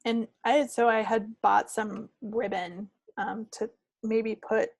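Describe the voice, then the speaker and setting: Creaky-voiced woman in casual podcast chat. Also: fairly high pitch, flat delivery, slow, no room sound. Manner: chatty, rambling. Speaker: co-host of a knitting podcast. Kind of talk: casual, unscripted craft chat.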